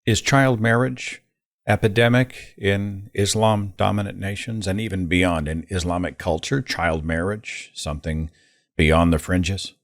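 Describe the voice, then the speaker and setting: Man, host, very deep voice, opinionated, dry sound. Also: fairly even intonation, unhurried, no room sound. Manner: opinionated, conversational. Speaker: podcast host. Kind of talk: interview questions.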